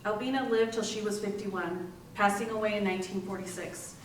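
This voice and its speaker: A woman's voice: medium pitch, fairly even intonation, fairly quick. A woman reads readers theater narration in a mid-range voice. The microphone is some way off, and there is faint background noise. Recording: distant mic, very echoey room, faint noise.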